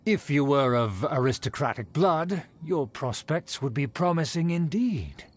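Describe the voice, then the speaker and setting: A man's voice: a little high, animated, a little slow, very noisy. A man voicing a haughty, pompous, vain aristocrat in a video game, speaking in a formal, condescending way. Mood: condescending approval. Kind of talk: video game character acting.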